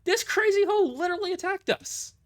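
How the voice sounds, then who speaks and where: A man's voice: very high voice, expressive, fairly quick, pitched above the performer's own voice. A man voicing a furious, foul-mouthed small woman squad leader in an indignant shriek for an audio drama. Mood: shrieking fury. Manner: indignant, swearing, emphatic.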